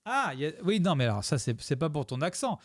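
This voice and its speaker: A man's voice: a little high, very animated, fast talker, dry recording. A man streaming live, commenting on his own gameplay video with a chat, rambling and joking as he thanks his followers.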